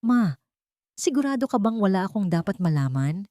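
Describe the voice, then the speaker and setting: A woman's voice: mid-range voice, very animated, normal speed, warm tone, no echo, clean studio sound, pitched above the performer's own voice, natural voice. A woman narrator voicing a confused grown son who speaks hesitantly and questioningly in an audio love-story drama. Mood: uneasy. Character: confused adult son, groom searching his lost memory.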